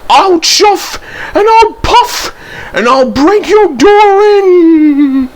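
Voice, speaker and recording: A man voicing a cheeky, excitable young steam engine in a children's story, with shrieks and drawn-out spooky wails. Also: extremely high pitch, voice pushed up, exaggerated voice. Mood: spooky shrieking.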